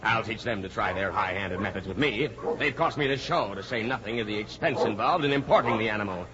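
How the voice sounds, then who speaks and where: An actor playing a pompous, indignant middle-aged gentleman in a 1940s radio drama. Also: lowish voice, expressive, a little fast, heavy background noise, lightly acted. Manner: blustery, pompous. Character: blustering, indignant wealthy older gentleman dog owner. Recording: muffled old radio, loud crowd behind.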